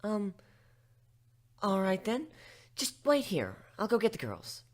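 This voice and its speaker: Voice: medium pitch, wildly swooping pitch, slow, voice pushed up, exaggerated voice. A man voicing a bookish female pony librarian in a dramatic story reading, polite and hesitant. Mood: hesitant, helpful. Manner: hesitant, gentle wording.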